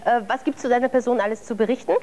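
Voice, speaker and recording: A woman's voice: fairly high pitch, fairly even intonation. A woman hosting a TV talk show, bantering and teasing contestants with a handheld mic in a studio. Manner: playful, teasing, quick-witted. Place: TV studio with a live audience. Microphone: handheld microphone. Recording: loud crowd and studio noise.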